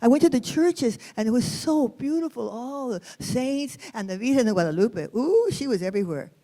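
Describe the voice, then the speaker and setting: Warm-voiced East Los Angeles woman storyteller. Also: on the high side, poor audio. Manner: expressive, dramatic pauses, sprinkles Spanish words then translates them. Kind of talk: performed personal storytelling.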